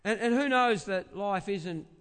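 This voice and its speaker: A man's voice: sits high, a bit bright, smooth. A man with a big voice, preaching a conversational sermon as a pastor, repeating phrases and asking rhetorical questions. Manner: halting repetition of phrases for emphasis, rhetorical questions. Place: large indoor church space. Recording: reverberant hall sound.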